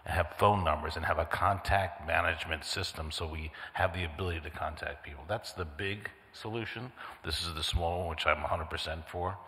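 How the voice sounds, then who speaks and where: A councilman speaking at a public hearing, direct and brisk. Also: sits low, bright, forceful tone. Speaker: city councilman. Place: city council hearing room. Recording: echoey council chamber with long reverb.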